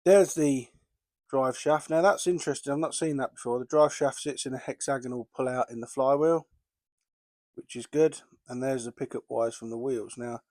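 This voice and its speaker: A man's voice: mid-range voice, a little mellow, no room sound, studio quality. A man recovering from a cold, chatting casually as he strips down and rebuilds a model locomotive step by step. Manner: chatty, practical, talks through each step. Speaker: model railway hobbyist. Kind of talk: casual workbench narration.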